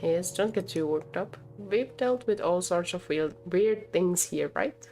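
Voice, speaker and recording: A woman's voice: fairly low pitch, unhurried, darkish tone, faint music behind. Woman reading as a concerned, fussing cafe coworker. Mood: reassuring. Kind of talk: visual novel dialogue read aloud.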